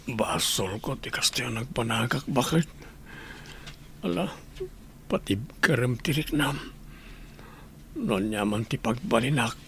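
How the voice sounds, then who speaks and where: A man's voice: medium pitch, lively intonation, a little slow, vocal fry, pauses often, bright, forceful tone, a little hiss or hum, full character voice. A man playing a sick, regretful husband in an Ilocano radio drama, groaning and anguished as he speaks. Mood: weak, remorseful.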